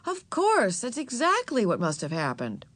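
A woman's voice: on the high side, huge pitch swings, normal speed, fairly bright tone, no echo, pitched above the performer's own voice, heavily acted. A woman voicing a stern, exasperated mother in a storybook, firm with her children. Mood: puzzled. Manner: scolding, firm.

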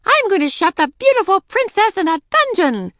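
Woman voicing a shrill, theatrical young girl. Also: big pitch swings, moderate pace, fairly bright tone, no echo, clean studio sound, distant mic, heavily acted. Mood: gleefully wicked. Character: excitable young girl playing a gleefully wicked witch.

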